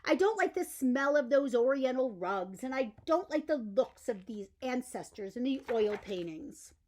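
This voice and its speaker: A woman's voice: high voice, animated, unhurried, darkish tone. A woman voicing a plain-spoken, grumbling fisherman husband in a bedtime story. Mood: discontented. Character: plain, homesick fisherman husband in a fairy tale.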